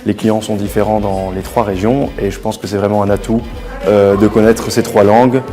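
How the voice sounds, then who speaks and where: Young man, low mellow voice. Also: little pitch movement, moderate pace, soft background music. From Belgium. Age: 20s. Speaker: hospitality ambassador. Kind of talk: promotional testimonial.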